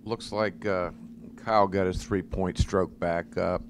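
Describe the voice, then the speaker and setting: A man with a low, deep voice asks his question from the floor of a press room. He sits well away from the microphone, so his voice comes through thin under a faint room hum. His questions are plain and matter-of-fact. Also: fairly even intonation, a little slow. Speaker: sports reporter.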